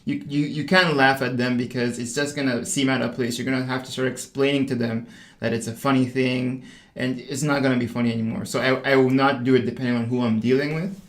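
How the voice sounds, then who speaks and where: A young man from Zambia talks casually as a podcast guest, stumbling through fillers and repeated words. His voice sits at a middle pitch. The recording carries a noticeable room echo. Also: little pitch movement, fairly quick. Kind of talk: casual conversation. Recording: echoey room.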